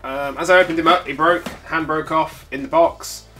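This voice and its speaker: A man's voice: a little high, fairly even intonation, normal speed, creaky, bright, forceful tone, faint music behind. A man with a congested, bunged-up voice, chatting casually as he unboxes a collectible. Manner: chatty, rambling, with frequent filler sounds. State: stuffy, congested from a cold. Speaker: toy collector channel host.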